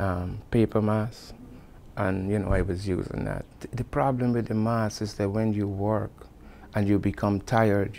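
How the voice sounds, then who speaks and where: A man's voice: fairly low pitch, gravelly, warm tone, a little hiss or hum. A middle-aged man with a Guyanese accent recalling personal experience in an earnest, emphatic way, repeating phrases to drive them home. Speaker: construction supervisor giving testimony. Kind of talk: personal oral-history recollection.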